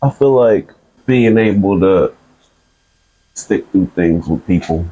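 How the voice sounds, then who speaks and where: Guy answering q's, rambles and hesitates, cracks jokes, pitch bouncing all over. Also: expressive, slow pace, warm tone, slightly rough, muffled recording. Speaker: guest answering questions. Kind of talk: casual chat.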